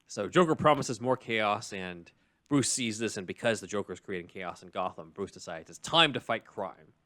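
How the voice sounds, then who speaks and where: A man's voice: on the low side, moderate pace, pushed and bright. A man hosting a podcast, explaining a film story in casual chat with an analytical, enthusiastic fan's manner and casual asides. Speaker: podcast host.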